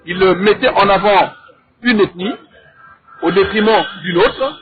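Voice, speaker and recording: A man with a Congolese accent debating in a measured, didactic way, in short deliberate phrases and with rhetorical questions. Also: high voice, background noise. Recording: thin, phone-like narrow sound.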